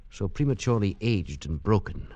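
An actor playing a sensible, concerned gentleman, earnest and dramatic in an old radio drama. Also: on the low side, very animated, a little fast, dry recording, lo-fi sound. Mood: grave narration. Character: sensible, concerned English gentleman, sceptical friend and narrator.

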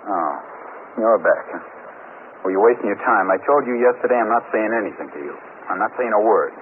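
An actor playing a sullen, defiant out-of-work robbery suspect, surly and defensive, in a 1950s radio drama. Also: male voice, lowish voice, lively intonation, brisk, lightly acted. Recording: scratchy, narrow-band 1950s radio recording.

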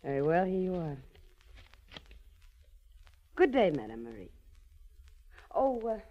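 A poised, dangerous lady racketeer in a 1940s radio crime drama. Her low voice swings freely from silky to imperious, with cool seductive assurance, on a muffled vintage broadcast recording. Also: sing-song, takes it slowly, exaggerated voice. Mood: imperious, confident. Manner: poised, haughty, coolly seductive. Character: cool, self-assured female crime boss muscling into a racket.

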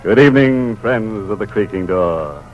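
A man's voice: fairly low pitch, lively intonation, lightly acted. Ghoulish, theatrical radio horror host, played by a man. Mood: macabre glee. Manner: theatrical, drawn-out punch lines. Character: ghoulish, gleefully morbid male horror show host. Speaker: host of a mystery anthology. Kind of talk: 1940s radio horror hosting, tongue-in-cheek. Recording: muffled old radio broadcast with music.

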